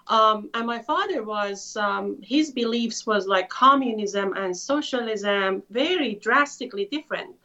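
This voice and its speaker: Higher-voiced woman from Iran, lo-fi sound. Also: bright, forceful tone. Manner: thoughtful, many filler pauses. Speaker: author, interview guest. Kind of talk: conversational storytelling. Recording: muffled lo-fi audio.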